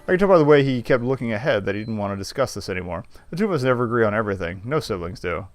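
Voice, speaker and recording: Wry man narrating over soft music. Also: fairly low pitch, animated, very fast, no room sound. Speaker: game streamer. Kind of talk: reading visual novel narration aloud with dry asides. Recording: faint music behind voice.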